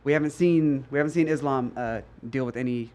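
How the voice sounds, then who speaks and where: A man from Canada hosts a laid-back conversation. He talks at a brisk clip, breaking down fights in a relaxed, slangy way. His voice sits at an ordinary pitch for a man. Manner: rapid, relaxed and slangy, with frequent "like" and "dude". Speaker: podcast host. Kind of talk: casual chat.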